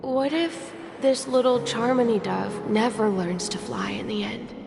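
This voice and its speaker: A serious young boy in a video game. The voice is fairly high and slow, hesitant and earnest. Long reverb and music surround it. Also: gravelly, background noise. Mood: troubled questioning. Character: solemn, hesitant, thoughtful young boy, protective brother. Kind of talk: scripted game voice acting.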